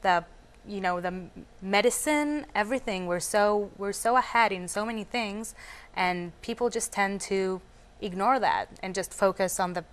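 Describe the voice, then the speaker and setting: Earnest young woman guest. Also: medium pitch. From Argentina, raised in Israel. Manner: earnest, with frequent "um" pauses. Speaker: guest, advocacy emissary. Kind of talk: TV studio interview.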